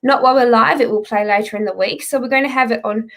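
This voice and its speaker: A woman's voice: fairly high pitch, quick pace. A woman hosting a live-streamed country music show, chatting and greeting viewers by name.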